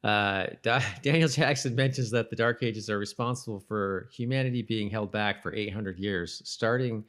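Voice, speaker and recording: A man's voice: lowish voice, normal speed, fairly bright tone. A man co-hosting a podcast in casual, agreeable conversation, sometimes reading trivia aloud. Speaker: podcast co-host.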